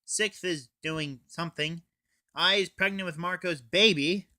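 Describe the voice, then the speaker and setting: Bright-voiced, slow-paced teen reviewer. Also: medium pitch. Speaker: anime reviewer. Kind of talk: scripted casual review, recapping a plot.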